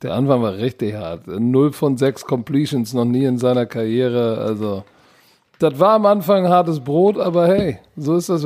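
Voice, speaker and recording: A man co-hosting a podcast in casual sports chat, excitable and slangy, often repeating words. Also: medium pitch. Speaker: podcast co-host.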